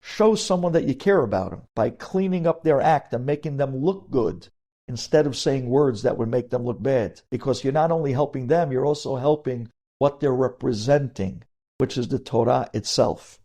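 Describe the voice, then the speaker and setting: A man speaks as a rabbi delivering a religious lecture. His voice is dark and mellow, without sharp edges. He drives points home with emphasis and repetition, dropping Hebrew terms into his sentences. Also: mid-range voice, normal speed. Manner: emphatic, repeats key phrases for weight; mixes Hebrew terms into English. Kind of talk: sermon-like Torah lecture.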